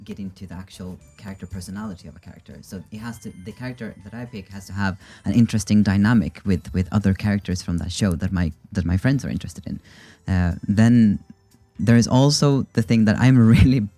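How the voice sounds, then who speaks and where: Male guest, a deep, mellow voice, and a self-deprecating manner. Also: fast, hardly any pauses, no echo, faint music behind. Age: 20s. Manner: self-deprecating, with frequent restarts. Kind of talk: casual panel chat.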